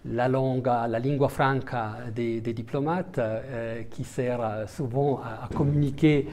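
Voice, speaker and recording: Hesitant diplomat, mellow voice. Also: male voice, mid-range voice, steady tone, unhurried. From Italian-speaking Switzerland. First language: Italian. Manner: hesitant, many fillers and restarts. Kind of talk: interview answers.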